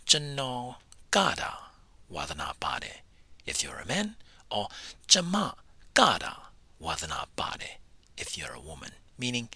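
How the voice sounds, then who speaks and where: A man teaching a beginner language lesson, repeating each phrase slowly and letting his phrase endings fall away breathily. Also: on the low side, pushed and bright, breathy edge, no echo. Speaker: language instructor. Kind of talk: slow, deliberate language lesson narration.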